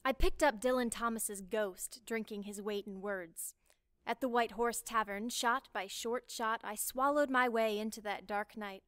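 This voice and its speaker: A woman recites a written poem in a deliberate, storytelling way. Her voice sits a little high. The recording is very dry, with no sense of room around her. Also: fairly even intonation, fairly bright tone, dry recording. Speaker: poetry reader. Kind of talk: scripted poetry recitation.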